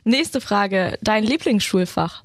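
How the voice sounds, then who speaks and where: Woman, medium pitch, casual host, conversational questions, dry close sound. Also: lively intonation, slow, dry recording. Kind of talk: casual chat.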